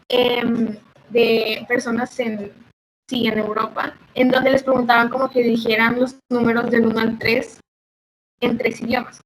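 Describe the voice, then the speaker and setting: A woman's voice: fairly high pitch, slight background noise, poor audio. A woman, a guest chatting casually and hesitantly, with many fillers. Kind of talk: casual chat.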